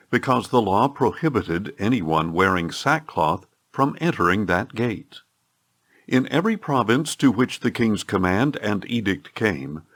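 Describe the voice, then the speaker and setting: A man reading scripture as an audiobook narrator, in an expressive, scripted storytelling delivery. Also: on the low side.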